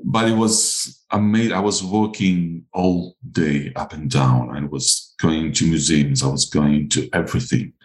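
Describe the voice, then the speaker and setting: Deep voiced greek guy talking english, lots of ums, excited, roomy echo. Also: unhurried, hardly any pauses. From Crete, Greece. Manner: hesitant, enthusiastic, many fillers and restarts. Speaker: guest artist. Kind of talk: casual interview chat.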